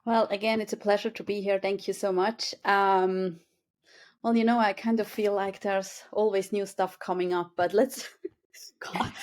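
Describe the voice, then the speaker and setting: A woman's voice: mid-range voice, steady tone, unhurried, a little mellow. A woman in her forties from Switzerland, a guest in an interview, talking casually with many hesitations and restarts. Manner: hesitant, many restarts and fillers, laughs easily.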